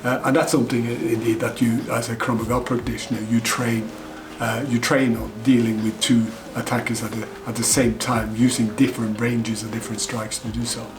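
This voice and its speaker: A man's voice: mid-range voice, level tone, music in the background, low-quality recording. Articulate Norwegian-born man instructor. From Norway. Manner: articulate, measured with filler words. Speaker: Krav Maga instructor. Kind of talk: reacting to film fight scenes.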